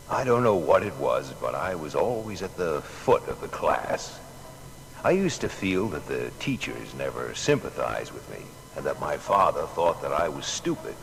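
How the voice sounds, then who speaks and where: A man's voice: sits low, exaggerated voice, rough recording. An actor playing a grown inventor who earnestly and reflectively recalls his boyhood in a dramatised biography. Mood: wistful, hurt. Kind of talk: dramatised first-person reminiscence.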